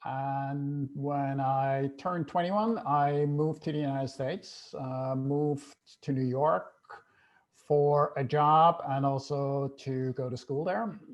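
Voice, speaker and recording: A middle-aged man from Switzerland telling his life story in a relaxed interview, with many "uh" fillers. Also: mid-range voice, slow. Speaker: guest, travel company co-founder. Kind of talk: casual interview chat.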